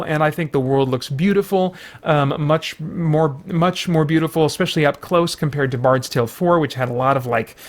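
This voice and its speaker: A man giving a casual spoken game review, rambling with many fillers and restarts and sounding mildly exasperated. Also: a little high.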